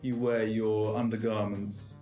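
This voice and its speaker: A man's voice: lowish voice, little pitch movement, unhurried, mellow. A man interviewing a guest, talking in a loose, rambling way with many fillers. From England. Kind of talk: casual interview chat. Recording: echoey room with music behind.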